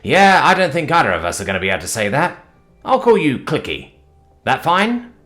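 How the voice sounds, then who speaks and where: A man's voice: a little high, huge pitch swings, fairly quick, a bit bright, voice pushed up. A man doing a folksy drawling country fellow. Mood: joking. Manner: drawling country talk, hearty. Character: folksy friendly rural man, easygoing.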